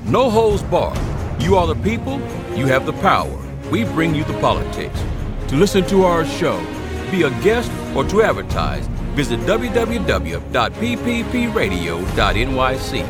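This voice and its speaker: A man's voice: on the high side, wildly swooping pitch, normal speed. A man reading a scripted show intro in a punchy, sing-song promo style. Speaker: show announcer. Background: music bed.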